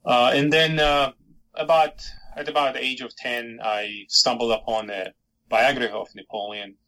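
A middle-aged historian from Georgia who grew up speaking Georgian, answering interview questions thoughtfully with many fillers and restarts. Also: male voice, mid-range voice, steady tone, moderate pace, sharp tone, low-quality recording. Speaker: historian and author.

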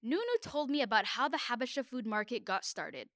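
Woman reading her interview narration, slightly high voice, clear and dry. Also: animated, a little fast, a bit bright, no echo. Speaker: interviewer, correspondent. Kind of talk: scripted narration.

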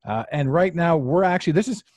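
A man's voice: a little high, fairly quick, darkish tone, no room sound. Rambling male podcast host, dry sound. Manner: rambling, repeats himself, many fillers. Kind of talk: casual live podcast chat. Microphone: podcast mic on a live stream.

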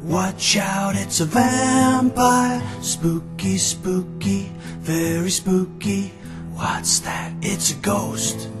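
A woman slowly singing a playful children's Halloween song in a singsong manner. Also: mid-range voice, fairly even intonation. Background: guitar music.

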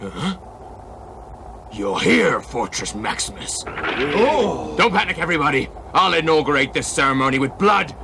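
A man's voice: fairly high pitch, sing-song, normal speed, creaky, background noise. A man playing brash, menacing robot villains in a dubbed cartoon, boastful and declamatory. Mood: grumbling.

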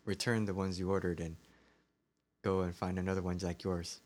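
A man's voice: sits low, level tone, moderate pace, a little mellow. A man co-hosting a casual chat podcast, making dry, self-mocking jokes, recorded on a cheap makeshift mic. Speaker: podcast co-host.

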